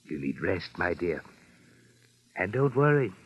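An actor playing a kindly, fatherly boss in an old-time radio drama, gentle and reassuring. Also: sits low, big pitch swings, measured pace, breathy edge, exaggerated voice. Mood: soothing. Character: kindly, fatherly male boss, soothing and solicitous. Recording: dull, noisy old radio recording.

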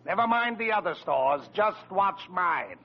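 A man's voice: high voice, lively intonation, unhurried, full character voice. An actor playing a grumpy, sarcastic older shopkeeper father in an old radio comedy. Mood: exasperated, sarcastic. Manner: exasperated, dry sarcasm. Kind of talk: 1940s radio comedy acting. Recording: muffled, noisy old radio recording.

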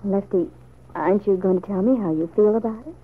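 A woman's voice: mid-range voice, brisk, a little hiss or hum, close to an everyday voice. An actress playing an earnest, resolute young woman seeking approval in an old-time radio serial. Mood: earnest, determined. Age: young adult. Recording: dull, lo-fi vintage radio recording.

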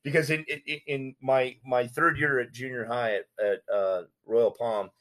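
A man recounting his past in casual street slang, animated and talkative. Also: mid-range voice, a little slow. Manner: street slang, animated retelling with acted quotes. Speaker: guest.

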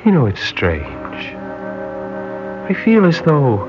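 A man's voice: on the high side, huge pitch swings, takes it slowly, heavily acted. A man acting an earnest, sentimental young sailor in a classic radio drama. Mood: tender, grateful. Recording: dull old radio recording with music.

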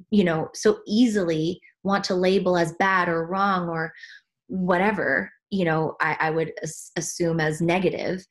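A woman from Switzerland, a spiritual teacher, talking earnestly about her path as a podcast guest, often restarting her sentences as she searches for words. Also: lowish voice. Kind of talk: reflective podcast conversation.

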